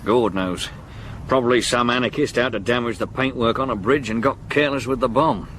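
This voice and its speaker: An actor playing a dry, deferential Victorian police sergeant with an English accent in a radio drama. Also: male voice, medium pitch, a little fast, a bit bright, natural voice. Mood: dry, grim. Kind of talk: 1970s radio drama acting. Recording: slightly noisy vintage radio recording.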